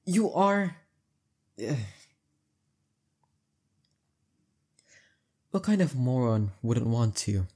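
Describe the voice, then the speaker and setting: A young man acting as a heartbreaker friend in an intimate roleplay audio, talking casually and haltingly with emphasis and a soft husky edge. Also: medium pitch, sing-song. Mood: indignant, adoring.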